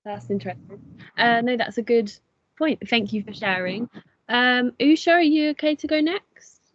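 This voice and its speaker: A woman's voice: a little high, normal speed, dry recording, muffled recording. A young woman facilitating a panel discussion, polite and a little hesitant, with plenty of fillers. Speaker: student facilitator. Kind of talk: moderating a panel.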